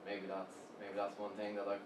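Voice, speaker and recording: An easygoing young Irish man chats through an interview, pausing on fillers and repeating himself. His voice sits a little low and sounds distant from the mic in a roomy space, with steady noise behind him. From Ireland. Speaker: guest, web agency co-founder. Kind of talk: casual interview talk.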